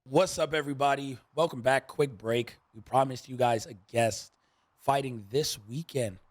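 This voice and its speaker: A man's voice: mid-range voice, normal speed. A man from New York with an American accent, hosting a casual sports podcast with slangy, upbeat banter. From New York, USA. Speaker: podcast host. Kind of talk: casual sports talk and interview.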